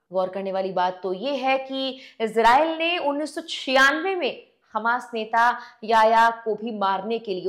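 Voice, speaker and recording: A woman's voice: a little high, fairly bright tone. A woman in her thirties presenting a scripted news explainer, recorded on a lapel mic in a studio. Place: indoor studio.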